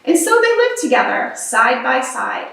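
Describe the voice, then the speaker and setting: A woman reading a picture book aloud for children in an expressive, animated storytelling manner. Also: high-pitched, unhurried, vocal fry. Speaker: storybook reader. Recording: very echoey room, distant microphone.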